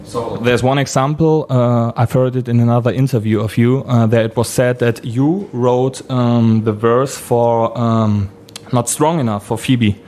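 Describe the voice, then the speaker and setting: A man asking interview questions in a halting, hesitant way. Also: mid-range voice, fairly even intonation, darkish tone, slight background noise. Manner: halting, hesitant phrasing in non-native English.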